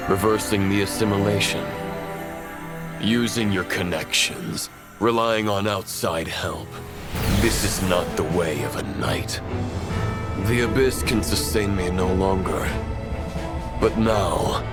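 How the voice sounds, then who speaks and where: A stern fallen knight in a game, low-voiced and slow. He declaims with grim, formal solemnity. Loud music swells behind him. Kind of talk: video game voice acting.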